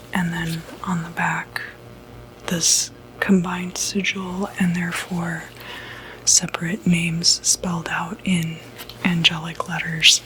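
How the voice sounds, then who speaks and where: A woman whispering softly and breathily in an unhurried, hesitant ASMR roleplay as a doctor. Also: fairly low pitch, a little hiss or hum, rough recording. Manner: soft-spoken, unhurried, hesitant pauses. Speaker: ASMR performer role-playing a doctor.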